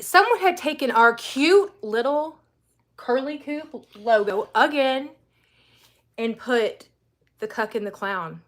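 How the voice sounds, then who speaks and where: A woman co-hosting a casual livestream, greeting viewers in the chat by name as she talks. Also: fairly high pitch. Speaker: livestream co-host.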